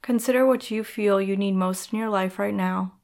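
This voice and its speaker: A woman narrating a guided meditation in a soothing, measured manner, leaving gentle pauses between instructions. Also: medium pitch, steady tone, vocal fry. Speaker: podcast host and yoga nidra guide.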